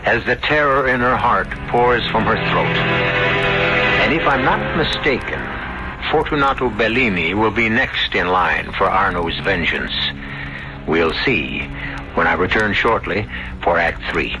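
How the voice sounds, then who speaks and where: Casting: older male host for vintage mystery radio, low pitch, portentous scripted narration over loud music. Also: animated, a little slow, poor audio. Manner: grave, portentous.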